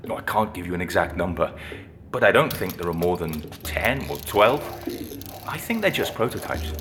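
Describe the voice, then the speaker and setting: A man playing a friendly, thoughtful music-box restorer in an audio drama, explaining things in a conversational, unhurried way. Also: low-pitched, sing-song, a bit bright, a little hiss or hum. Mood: calm, explanatory. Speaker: actor playing an interviewee. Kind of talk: naturalistic audio drama dialogue.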